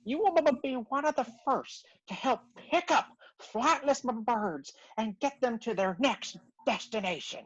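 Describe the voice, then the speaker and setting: A woman's voice: fairly high pitch, expressive, normal speed, few pauses. A woman playing an overeager bird boss who stutters on b words. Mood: eager. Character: stammering, overeager bird boss pitching a scheme. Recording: dull, muffled online-call audio.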